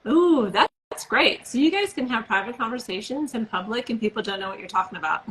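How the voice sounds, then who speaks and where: Gushing, high-voiced woman host. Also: animated, brisk, fairly bright tone, rough recording. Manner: gushing, enthusiastic. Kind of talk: casual live-stream interview.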